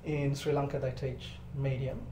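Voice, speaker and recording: A man's voice: mid-range voice, level tone, normal speed. A man talking casually as a podcast guest, explaining things articulately and swearing now and then. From born in Zambia, lived in Botswana as a child, then grew up in Australia. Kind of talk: casual interview storytelling. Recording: roomy echo, clear background noise.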